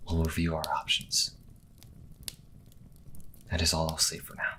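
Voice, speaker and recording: An actor playing an earnest, anxious young priest in an audio drama, halting and emotive. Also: male voice, rumbling low, completely flat delivery, bright, forceful tone, a little hiss or hum, exaggerated voice. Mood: subdued. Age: young adult.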